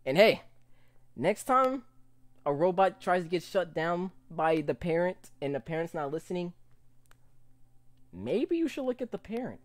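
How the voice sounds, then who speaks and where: A young man reacting casually to a video in a small room at home, excitable and often repeating himself. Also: high voice, measured pace, long pauses. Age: young adult. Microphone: studio microphone with pop filter.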